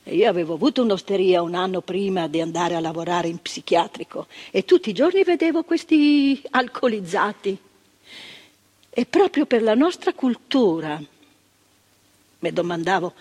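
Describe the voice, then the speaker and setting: An actress playing an older woman who once worked in a psychiatric hospital, telling her memories, dropping to hushed tones and rising to emphatic outbursts. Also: mid-range voice, lively intonation, normal speed, slightly rough. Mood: indignant recollection. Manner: vivid storytelling, swinging from hushed to emphatic outbursts. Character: older woman, former asylum ward worker, indignant. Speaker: monologue performer. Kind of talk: stage monologue.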